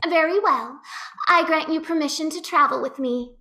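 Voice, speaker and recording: Imperious would-be princess for a fantasy game, young, high-voiced, grandly theatrical and pompous. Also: female voice, moderate pace, bright, forceful tone. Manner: elevated, pompous, theatrical speech. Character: haughty young woman who fancies herself a princess.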